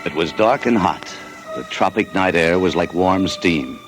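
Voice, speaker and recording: A man's voice: deep voice, measured pace. An actor playing a restless American salesman who narrates his own story, weary and sardonic. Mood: brooding. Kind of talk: 1940s radio acting. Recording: old radio broadcast with music bed.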